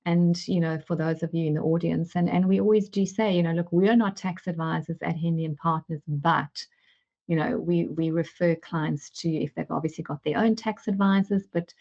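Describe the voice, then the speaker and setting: A woman's voice: on the low side, steady tone, normal speed, dark tone, muffled recording. A woman from South Africa speaking as an advisor in a webinar discussion, hesitant, with many fillers and repeated words. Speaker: managing director at an advisory firm.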